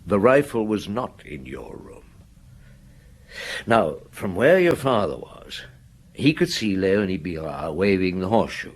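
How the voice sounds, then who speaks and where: Low, husky, shrewd police detective. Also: male voice, sing-song, heavily acted. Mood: quietly pressing. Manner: probing, coaxing, unhurried questioning. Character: patient, shrewd male police detective gently questioning a boy. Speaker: actor playing a police detective in a radio drama. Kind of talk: radio drama dialogue.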